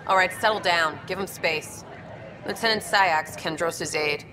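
A woman playing a brisk, commanding but friendly military aide in a video game. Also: fairly low pitch, in a large hall, recorded from a distance, crowd noise, noisy background, lightly acted.

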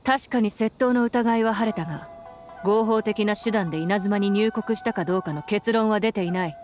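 A woman acting as a stern military commander, a woman, in an anime game. The voice is mid-pitched, formal and firm, giving orders with authority. Music runs behind it. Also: normal speed, darkish tone, close to an everyday voice. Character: stern, dutiful female military general.

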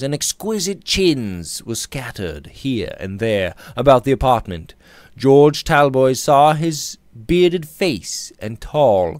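Expressive man reading a Victorian novel, dry sound. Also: medium pitch, dry recording. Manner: theatrical, measured, emphatic phrasing. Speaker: audiobook narrator. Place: small indoor room. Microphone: studio condenser microphone on a boom arm, close up.

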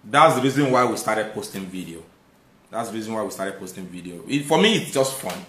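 A man in his thirties from Nigeria with a Nigerian accent, hosting a live stream, reading out viewer comments, thanking viewers and speaking with lively conviction when making a point. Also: fairly low pitch, a little fast, a little hiss or hum. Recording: echoey room.

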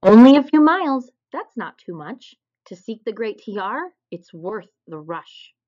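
A woman reading a children's picture book aloud in an expressive storytelling style. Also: on the high side, a little slow. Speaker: storybook reader. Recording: dull, lo-fi recording.

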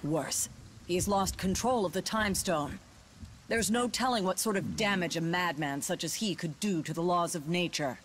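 An actor playing a grave, learned superhero in a video game, speaking formally. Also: male voice, sits high, normal speed, a bit bright, a little hiss or hum. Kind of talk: video game cutscene acting.

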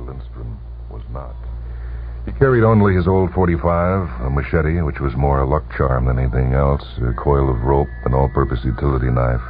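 A man reading a short story for radio in a measured, deliberate, suspenseful way. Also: a bass voice. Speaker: narrator. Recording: tinny, band-limited vintage radio broadcast. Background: music under the voice.

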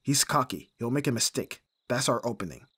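A man's voice: mid-range voice, expressive, fairly quick, fairly bright tone, husky, no echo. A man playing an abrasive but devoted bodyguard in an audio roleplay, intense and given to sighing and swearing. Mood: pleading. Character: abrasive male bodyguard, desperate and devoted to his client.

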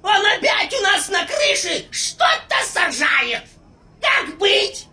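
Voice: very high voice, sing-song, slow, pushed and bright, shouting, far from the mic, heavily acted. An actor voicing a small, indignant cartoon mouse, shrilly haranguing like a rabble-rouser.

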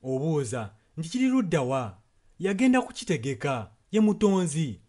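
Ugandan male sermon interpreter, slightly high voice, translating in short passages. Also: big pitch swings, normal speed, warm tone.

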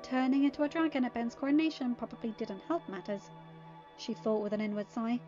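A woman's voice: on the high side, fairly even intonation, fairly quick, mellow, dull audio, faint music behind. A woman narrating fan fiction as an amateur audiobook reader.

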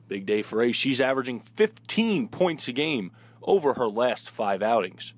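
A man announcing sports highlights in a play-by-play commentary style. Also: very animated, moderate pace.

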